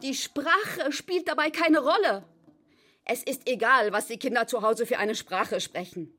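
A woman's voice: on the high side, measured pace, sharp tone. A woman acting a self-assured, indignant Turkish-German schoolteacher in a radio drama monologue. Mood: indignant, proud.

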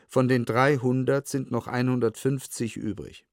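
A man reading historical documents as scripted audiobook narration, measured and sober, with precise enunciation. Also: medium pitch, steady tone, vocal fry, no room sound, studio quality. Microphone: close studio microphone.

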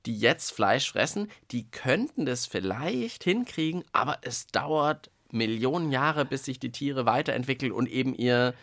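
A man's voice: medium pitch, expressive, unhurried, gravelly, hardly any pauses, a bit bright, no room sound, studio quality. A man hosting a children's podcast, explaining things in a conversational style.

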